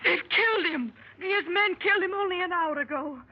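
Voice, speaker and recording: A woman's voice: very high voice, brisk. An actress playing a frightened young American ranch girl, crying and breathless, in a 1930s radio play. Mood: hysterical. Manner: sobbing, breathless pleading. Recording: muffled 1930s radio recording.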